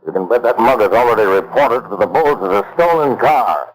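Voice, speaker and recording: A man's voice: medium pitch, animated, fairly quick, lightly acted, rough recording. An actor playing a tough, cocky stick-up man in an old-time radio crime drama, talking in curt, bossy slang. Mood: scheming. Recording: vintage radio broadcast recording.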